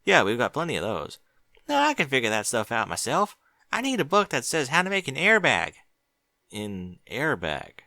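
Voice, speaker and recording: A man's voice: mid-range voice, huge pitch swings, moderate pace, gravelly, bright, forceful tone, no room sound, clean studio sound, voice pushed up, full character voice. A man voicing a young male dragon who grumbles in a laid-back, slightly surly way. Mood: casual. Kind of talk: audiobook character voice.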